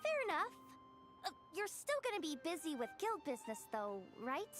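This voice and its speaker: Brisk high-pitched young guild woman. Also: wildly swooping pitch, fairly bright tone, exaggerated voice. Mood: concerned. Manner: casual, teasing, hesitant asides. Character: brisk, money-minded young guild woman, secretly kind. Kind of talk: animated game voice acting. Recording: background music under the voice.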